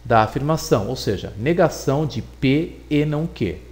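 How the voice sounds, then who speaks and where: A man giving a recorded logic lesson, explaining deliberately and repeating points for emphasis. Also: medium pitch, lively intonation, darkish tone. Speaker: teacher.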